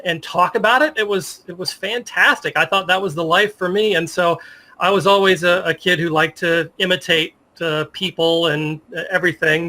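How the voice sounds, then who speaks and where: Bright, high-voiced American man in his fifties. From Virginia, United States. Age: 50s. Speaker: interview guest, sports media professional. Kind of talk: casual interview storytelling.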